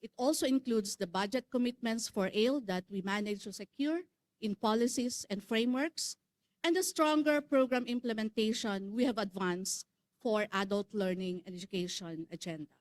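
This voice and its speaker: A woman's voice: medium pitch, normal speed, dry recording. A woman from the Philippines, a regional network's secretary general, giving a formal welcome speech at a conference with some fillers and restarts. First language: Filipino. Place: conference hall.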